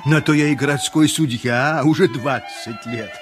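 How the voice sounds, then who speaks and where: An actor playing a seasoned, self-satisfied middle-aged city judge, narrating in a knowing way in a radio play. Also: male voice, a little high, normal speed, music in the background, close to an everyday voice. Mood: smug, unruffled.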